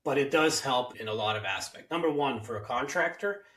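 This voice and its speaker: A man's voice: mid-range voice, animated, a little fast, a bit bright, roomy sound, far from the mic. A man from Hungary hosting a casual interview, talking at length with firm opinions. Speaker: host.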